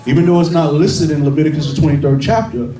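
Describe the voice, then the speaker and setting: A man's voice: on the high side, fast talker, warm tone, soft background music. A man in a congregation speaking earnestly and encouragingly about celebrating holidays their own way. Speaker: congregation member. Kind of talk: group discussion. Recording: distant mic in an echoey room.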